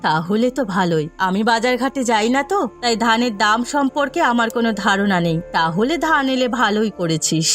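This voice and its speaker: A woman's voice: a little high, lively intonation, brisk, few pauses, faint music behind, natural voice. A woman voicing a bossy, scheming village matron who runs thieves in a cartoon story. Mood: satisfied, scheming.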